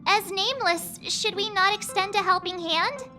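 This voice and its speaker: A woman voicing a perky, expressive teenage girl adventurer in a video game. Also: very high voice, moderate pace, faint music behind. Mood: earnest. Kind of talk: video game character dialogue.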